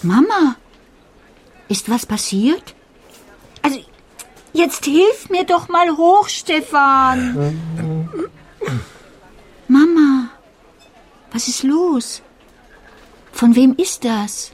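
An actress playing a sharp-tongued young pregnant wife, insistent and exasperated, with emphatic, drawn-out questioning in a radio play. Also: female voice, sits high, lively intonation, exaggerated voice. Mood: insistent, impatient.

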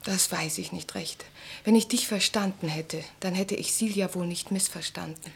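An actress playing an anxious, self-reproachful, refined wife in a radio play, with a hushed, intimate delivery. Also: female voice, on the low side, normal speed, gravelly, slightly rough, exaggerated voice. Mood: guilty, pained. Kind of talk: German radio play acting.

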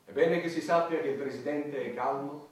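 An actor playing a complacent, pompous president, talking in polished rhetoric in a satirical stage play. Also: male voice, on the high side, normal speed, natural voice. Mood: calm assurance. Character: complacent, pompous president defending endless war. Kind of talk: satirical theatre dialogue. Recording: echoey hall, distant microphone.

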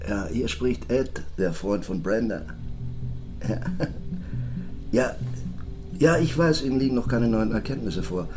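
An actor playing a bossy, brisk criminal accomplice in a crime radio drama, curt and commanding. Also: male voice, medium pitch, expressive, close to an everyday voice, poor audio. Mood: smooth, businesslike. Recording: music behind the voice.

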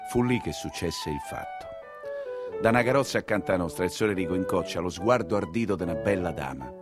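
Vetturino romano espressivo, voce mobilissima. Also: male voice, deep voice, fast. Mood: wry storytelling. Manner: colloquial, expressive, exclamatory. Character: Ninetto, wary, streetwise 19th-century Roman coachman telling his tale. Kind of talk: theatrical monologue storytelling in dialect. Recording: music behind the voice. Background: music.

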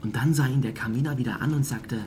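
An actor playing an ominous storyteller who relates an unsettling encounter in a hushed, intimate, halting manner. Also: medium pitch, background noise, lightly acted. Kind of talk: intimate radio drama storytelling.

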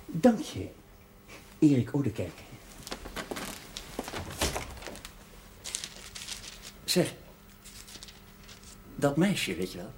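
Wry, animated educated man. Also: medium pitch, slow, slight background noise. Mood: hesitant, casual. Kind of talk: television drama acting.

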